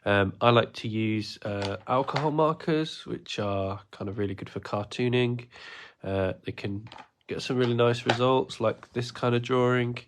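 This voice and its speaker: A man's voice: mid-range voice, a little slow, slight background noise. A man, stuffy from a cold, chatting his way through a live drawing lesson with plenty of ums and uhs. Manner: rambling, many ums and uhs. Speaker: art teacher running a livestream class. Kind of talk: casual livestream drawing lesson.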